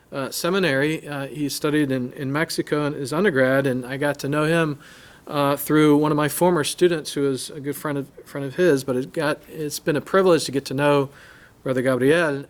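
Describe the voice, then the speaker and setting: Halting male church host and interpreter. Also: on the high side, a little hiss or hum. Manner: halting, restarts phrases while translating. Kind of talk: introducing a speaker, then interpreting.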